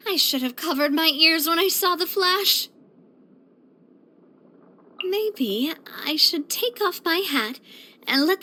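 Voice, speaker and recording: A woman's voice: very high voice, full character voice. An actress playing a spirited, proud and affectionate young woman boss in a video game, with a theatrical, bubbly delivery. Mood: dramatic, flustered. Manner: theatrical, expressive, bubbly, with playful grandiosity. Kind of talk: scripted video game character voice lines.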